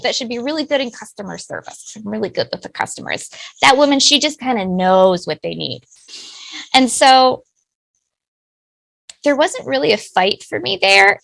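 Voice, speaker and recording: Talkative woman with a gravelly voice. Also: on the high side, rough recording. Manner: personal, talkative, warm-hearted. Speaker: business owner, guest. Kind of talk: interview answers.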